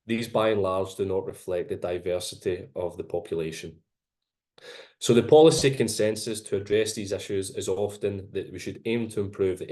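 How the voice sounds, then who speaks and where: A man's voice: sits low, a little mellow. Glaswegian man giving a seminar talk. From Glasgow. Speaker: presenting researcher. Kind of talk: academic seminar presentation. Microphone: video call.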